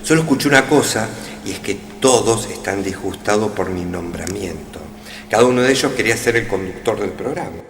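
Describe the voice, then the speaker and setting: A man's voice: fairly low pitch, lively intonation, brisk, a little hiss or hum, natural voice. An actor playing a nervous, hesitant male informant to royalty in a radio play, evasive in manner. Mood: flustered, evasive. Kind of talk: radio theatre acting. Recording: echoey hall.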